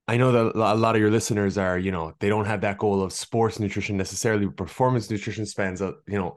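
A man with an Irish accent, a guest nutritionist telling stories in a friendly, easygoing way on a podcast. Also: on the low side. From Ireland. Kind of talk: casual podcast interview.

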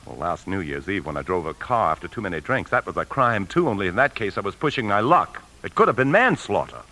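A man's voice: low voice, sing-song, sharp tone, exaggerated voice. A man with an American accent playing a desperate, self-justifying husband in a 1950s radio drama, arguing emotionally. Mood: insistent. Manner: argumentative, emotional, halting under strain. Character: desperate husband who stole from his bank, self-justifying. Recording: noisy old 1950s radio broadcast.

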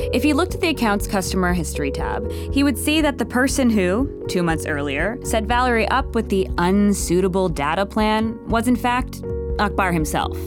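A woman narrating a scripted audio drama in a measured, wry storytelling manner. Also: mid-range voice, natural voice. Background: music.

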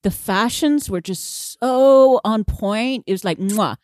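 A woman co-hosting a podcast, chatting about a film in an animated way and laughing often. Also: medium pitch, slow pace, no echo, studio quality. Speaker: podcast co-host. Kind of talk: casual film discussion.

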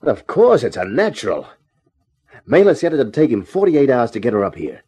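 An actor playing a flirtatious, hard-boiled private detective in a 1950s radio drama, with a slangy, wry, breezy delivery. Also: male voice, medium pitch, huge pitch swings, a little fast, dark tone, husky. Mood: excited, urgent. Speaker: actor in the lead part of a detective radio serial. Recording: 1950s radio drama recording, music behind.

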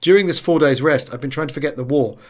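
A man narrating a wartime memoir as a scripted audiobook, measured and expressive, letting phrase endings fall hushed. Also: fairly high pitch.

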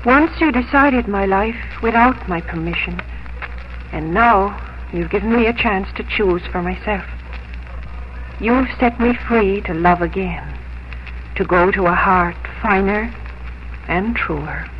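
An actress performs a gentle, wistful young schoolteacher in a 1950s radio drama. She speaks slowly and earnestly, with formal, measured phrasing. The old recording is dull, with faint noise. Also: mid-range voice, close to an everyday voice. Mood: calm, firm.

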